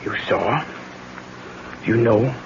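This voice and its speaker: A performer playing a solemn, vengeful island aunt steeped in voodoo, speaking gravely and deliberately in a 1970s radio drama. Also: medium pitch. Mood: grave, ominous. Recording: muffled, hissy 1970s radio broadcast recording.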